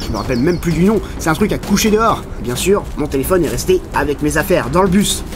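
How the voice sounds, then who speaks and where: A man's voice: a little high, animated, quick pace, noisy background, lightly acted. A man playing a lost holidaymaker muttering sarcastically to himself in a comedy audio drama. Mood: sarcastic grumbling. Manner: sarcastic, self-talking. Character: lost stranded holidaymaker grumbling and cheering self on.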